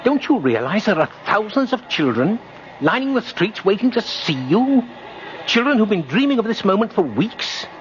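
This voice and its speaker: Kindly, proper old man, highly animated, precise and formal; 1950s radio drama with faint noise. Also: moderate pace. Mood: indignant. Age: older. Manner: formal, earnest, precise, fussy. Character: kindly, dignified, indignant little old man, Santa lookalike. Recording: muffled, noisy 1950s radio recording.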